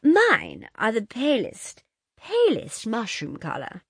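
A woman's voice: on the high side, huge pitch swings, slow, gravelly, husky, no echo, clean studio sound, voice pushed up, full character voice. A woman voicing a flighty, affected young society wife in an audiobook, breathless and gushing. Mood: dreamy, affected. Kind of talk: audiobook character dialogue.

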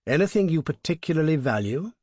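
A man's voice: a little high, very animated, fast talker, breathy edge, no room sound, pitched above the performer's own voice. A man voicing a courteous but determined masked robber in an audiobook, polite and terse. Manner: polite, terse, quietly commanding. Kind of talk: audiobook character voice.

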